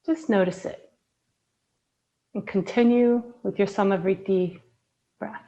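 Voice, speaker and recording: Slow, soft-spoken woman guiding breathing. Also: medium pitch, fairly even intonation, muffled recording. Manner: slow, soft, soothing guidance with long pauses. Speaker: yoga and breathwork teacher. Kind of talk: guided breathing meditation.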